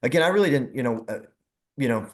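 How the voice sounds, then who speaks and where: A man, a former minor league general manager, reminiscing casually as a guest in a halting, wandering, self-qualifying way. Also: mid-range voice, lively intonation.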